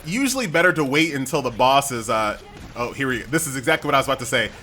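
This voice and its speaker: A man's voice: mid-range voice, expressive, fast talker, pushed and bright, slight background noise. An American man giving casual, chatty gameplay commentary in an informal, conversational way. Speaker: gaming YouTuber.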